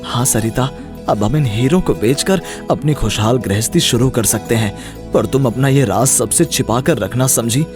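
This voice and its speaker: A man voicing a gentle, weary young village husband in an animated Hindi moral story, mild and subdued. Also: mid-range voice, normal speed, over music, close to an everyday voice. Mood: relieved, cautious.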